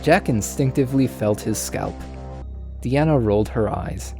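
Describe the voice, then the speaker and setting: A man's voice: medium pitch, a little slow, creaky, music in the background. A man reading a story aloud in an informal way, sometimes stumbling over lines. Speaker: fan fiction reader.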